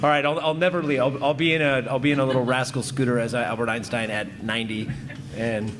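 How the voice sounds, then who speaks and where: A man's voice: mid-range voice, level tone, quick pace, background noise. A man from the United States telling a rambling story as a panel guest, laughing at himself.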